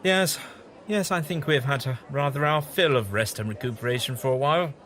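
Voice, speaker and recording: A man's voice: medium pitch, a little fast, fairly bright tone, close to an everyday voice. A man playing an eccentric, fussy, kindly time-travelling wanderer in an audio drama, with a hesitant, fluttery manner. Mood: genial, evasive.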